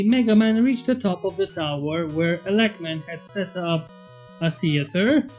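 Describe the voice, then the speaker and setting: Slow-paced, high-pitched male story narrator. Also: darkish tone. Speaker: fan fiction reader and narrator. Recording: muffled, echoey room, faint music.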